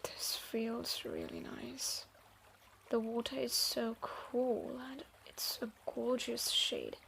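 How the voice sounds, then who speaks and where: A woman playing a guarded, troubled thief in a fantasy audio drama, speaking haltingly and reluctantly softening. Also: on the high side, huge pitch swings, gravelly, in a whisper, exaggerated voice. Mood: shy, relaxing. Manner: hesitant, sighing, halting delivery. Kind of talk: scripted roleplay audio drama.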